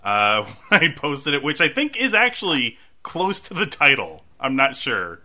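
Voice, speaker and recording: Male podcast host, very bright tone, laughing, stammering restarts, casual chat. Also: a little high, sing-song, normal speed.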